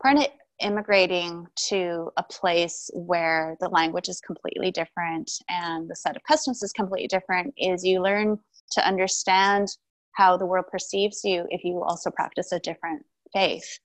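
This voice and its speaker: A woman in her forties from Taiwan, raised in Canada, speaking thoughtfully with many pauses and restarts as an interview guest. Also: lowish voice, fairly even intonation, no echo, poor audio. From Taiwan, raised in Vancouver, Canada. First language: Mandarin. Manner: thoughtful, with frequent ums and restarts. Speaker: guest, shamanic practitioner. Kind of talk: casual interview talk.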